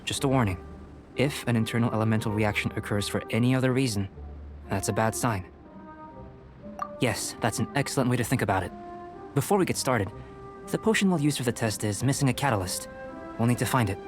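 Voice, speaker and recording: A man voicing a calm, reserved young alchemist scholar in an anime game, speaking in a measured, precise way. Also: medium pitch, music in the background, lightly acted. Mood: composed, instructive. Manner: measured, precise, composed, clinical.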